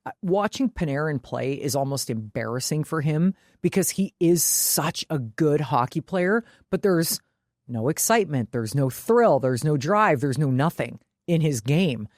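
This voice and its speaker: A woman's voice: deep voice, animated, a little slow, a little mellow, dry recording, studio quality. A woman co-hosting a podcast in casual sports chat, opinionated and emphatic, swearing freely. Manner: freely profane. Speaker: podcast co-host.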